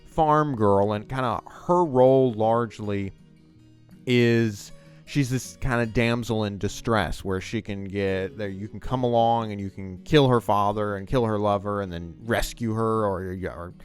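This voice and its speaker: A man with a fairly low voice gives casual, unscripted commentary. He talks in a loose, emphatic, conversational way, with music playing behind him. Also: measured pace, clean tone. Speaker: video essayist host.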